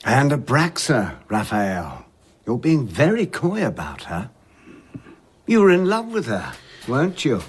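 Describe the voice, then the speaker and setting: An inquisitive man in a radio drama. His husky voice is animated in pitch, with a wry, probing manner. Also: unhurried, heavily acted. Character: inquisitive, probing man, teasing listener and questioner.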